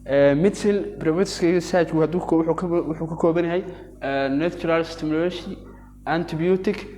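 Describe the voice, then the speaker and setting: Young male pharmacy student, Arabic native speaker, slightly high voice, halting delivery with frequent restarts, faint hiss behind. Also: darkish tone. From Yemen. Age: young adult. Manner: hesitant, many restarts and fillers, switches between two languages. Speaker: pharmacy student giving a class presentation. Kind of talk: halting bilingual presentation.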